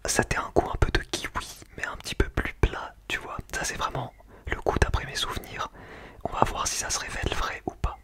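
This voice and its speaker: A man speaking in a breathy, unvoiced whisper, chatting casually and in slang in an ASMR tasting style. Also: brisk. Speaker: ASMR food-tasting video creator.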